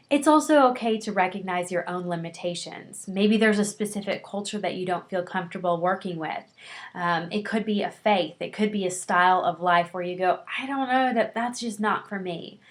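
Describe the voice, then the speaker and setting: A middle-aged woman records a lecture for her counseling students. She speaks at an ordinary pitch and keeps a warm, encouraging, conversational tone. She often pauses on an um and restarts her sentences. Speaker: counseling instructor.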